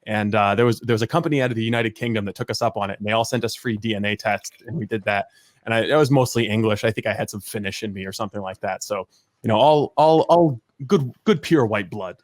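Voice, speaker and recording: Fast-talking, low-pitched man from rural Maine. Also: dry recording. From rural Maine, USA. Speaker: podcast guest, a media producer. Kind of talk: casual interview chat, joking.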